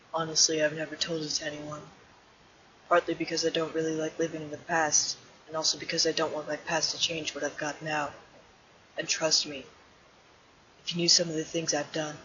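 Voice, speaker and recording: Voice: mid-range voice, bright, forceful tone, dull audio, a little hiss or hum, natural voice. A performer voicing a laid-back, guarded male skeleton with slangy casual talk in a fan comic dub. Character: Sans, laid-back male skeleton hiding a painful past.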